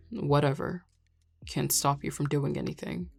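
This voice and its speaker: Woman playing a creaky-voiced, prickly tsundere bartender. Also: deep voice, steady tone, takes it slowly, faint music behind, exaggerated voice. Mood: weary, grudging. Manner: curt, irritable, defensive. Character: prickly, guarded tsundere bar worker, tired and brusque. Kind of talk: audio roleplay acting.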